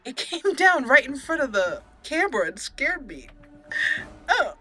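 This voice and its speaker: A woman's voice: sits high, sing-song, a little slow, pushed and bright, faint music behind. A woman giving casual, chatty let's play commentary as a gaming host, playfully exclaiming and talking to the characters on screen.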